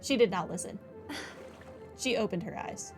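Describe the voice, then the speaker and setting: A woman's voice: mid-range voice, moderate pace. An American woman giving casual commentary while playing a game, chatty and full of wry asides. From United States. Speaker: gamer narrating a playthrough. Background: game music.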